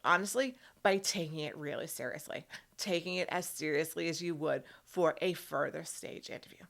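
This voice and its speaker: A woman's voice: sits low, normal speed, creaky, fairly bright tone. A woman working as a career coach, speaking straight to the viewer in an emphatic, instructional way and often checking in with short tag questions. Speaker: career coach hosting an advice video.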